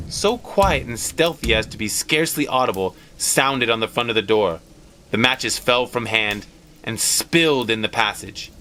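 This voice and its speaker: A man's voice: medium pitch, pushed and bright. A man narrating a story aloud in a dramatic, scripted storytelling style.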